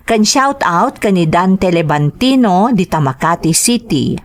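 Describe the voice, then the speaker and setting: A woman with an Ilocano accent hosting a drama podcast, reading greetings and narrating letters from a script. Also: mid-range voice.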